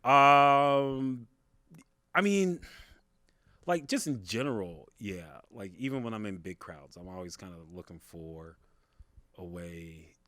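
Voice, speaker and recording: Low-voiced man telling a story casually. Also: lively intonation, takes it slowly, fairly bright tone, no room sound, clean studio sound. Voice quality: big, carrying voice. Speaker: podcast guest, stand-up comedian.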